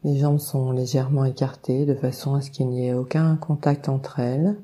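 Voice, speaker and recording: A woman leading a guided meditation, giving calm, soothing instructions with long unhurried pauses. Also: rumbling low. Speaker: yoga nidra meditation guide.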